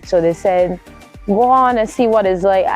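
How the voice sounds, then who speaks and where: A woman's voice: mid-range voice, moderate pace, a little mellow, faint music behind. A woman from Barbados with a Caribbean accent, telling her story casually as an interview guest and often correcting herself as she goes.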